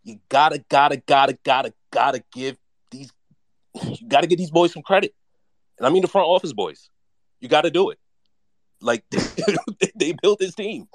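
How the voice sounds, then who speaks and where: A man chatting excitedly about his team in a slangy, repetitive way. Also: on the high side, moderate pace, pauses often, no room sound, studio quality. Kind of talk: casual fan chat.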